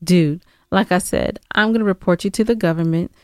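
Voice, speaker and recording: A woman's voice: on the low side, a little fast, a little mellow, dry recording, studio quality. A woman co-hosting a podcast in casual banter, sassy and playfully argumentative. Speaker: podcast co-host.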